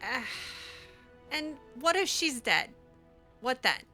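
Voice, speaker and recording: A woman's voice: high voice, very animated, slow pace, pushed and bright, soft background music. A woman role-playing a video game heroine in improvised dialogue, with a dry, sardonic, hesitant delivery. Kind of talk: improvised in-character gameplay dialogue.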